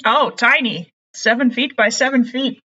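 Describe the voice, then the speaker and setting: An American woman with a husky voice plays a tabletop game, chatting playfully and correcting herself as she goes. Her audio comes through dull and lo-fi. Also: on the high side, unhurried, bright, forceful tone. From United States. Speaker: player. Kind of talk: actual-play tabletop game.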